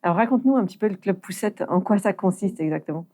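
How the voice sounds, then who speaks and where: A woman's voice: mid-range voice, animated, fast talker, warm tone. A woman interviewer asking questions informally and encouraging her guest. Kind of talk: casual interview.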